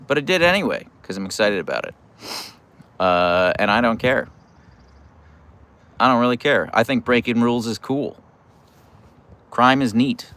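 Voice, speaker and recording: A middle-aged American man hosts a relaxed livestream in a low voice that swings widely in pitch. He is playful and wry, reading out viewer comments and feeling his way into answers with halting starts. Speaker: livestream host.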